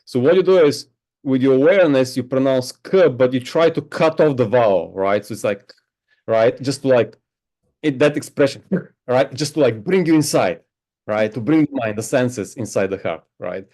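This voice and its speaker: A man speaks at a mid-range pitch in an interview. He sounds like a meditation teacher, explaining things slowly and thoughtfully, and he keeps adding "right?" to check that he is understood. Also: darkish tone. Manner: slow, reflective, explaining with many "right?". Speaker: spiritual teacher. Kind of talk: interview answers. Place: home on a Hawaiian island.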